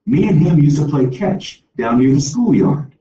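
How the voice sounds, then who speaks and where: A man voicing an elderly, plain-spoken woman with a warm, folksy, chatty manner in a storybook read-aloud. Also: on the high side, animated, moderate pace, reverberant, distant mic, highs cut off, slight background noise, voice pushed up, lightly acted. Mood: teasing.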